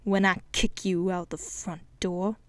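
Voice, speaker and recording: A woman voicing a gruff, menacing male smuggler boss with a slow, growling delivery. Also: on the low side, level tone, fairly bright tone.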